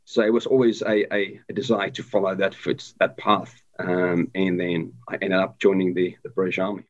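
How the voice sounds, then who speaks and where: Hesitant man, low voice. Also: flat delivery, normal speed. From South Africa. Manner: hesitant, many ums and restarts. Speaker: guest, army veteran. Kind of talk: casual interview conversation. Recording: dull lo-fi sound.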